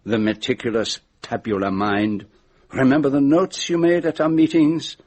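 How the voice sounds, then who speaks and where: An actor playing a shrewd, methodical detective in a radio mystery drama, speaking formally and deliberately as he sets out an accusation. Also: mid-range voice, steady tone, darkish tone, lightly acted. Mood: accusing, confident. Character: shrewd, methodical male detective laying out his case.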